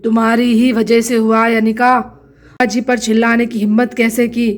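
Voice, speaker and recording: A woman's voice: on the high side, level tone, moderate pace, pitched below the performer's own voice, lightly acted. A woman voicing an angry young husband who speaks in cold, harsh scolds. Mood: harsh, threatening.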